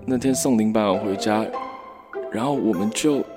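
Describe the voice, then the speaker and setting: An actor playing a hesitant male confidant in a radio drama, speaking in a halting, deadpan, awkward way. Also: on the low side, music in the background, natural voice. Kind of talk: Mandarin radio drama acting.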